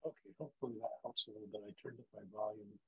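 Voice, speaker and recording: Gravelly man leading a video call. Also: lowish voice, rapid-fire, narrow, tinny audio. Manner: loose, filler-heavy, friendly instructions. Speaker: webinar presenter for teachers. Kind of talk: casual online presentation. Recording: video call audio, volume dropping in and out.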